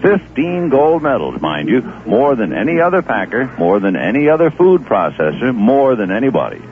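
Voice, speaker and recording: Animated male radio ad announcer, tinny sound. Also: medium pitch, moderate pace, faint music behind. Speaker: commercial announcer. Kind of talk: 1970s radio ad read, conversational pitch. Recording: thin, slightly noisy old radio recording.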